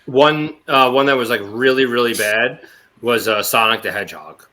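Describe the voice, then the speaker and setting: A man's voice: medium pitch, moderate pace, pushed and bright. A man chatting bluntly and informally as a podcast panelist. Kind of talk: casual chat.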